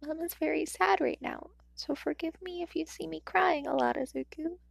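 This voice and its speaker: A woman voicing a tender, grieving mother who speaks softly through tears. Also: sits high, normal speed, vocal fry, dry recording, pitched above the performer's own voice. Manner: soft, gentle, tearful.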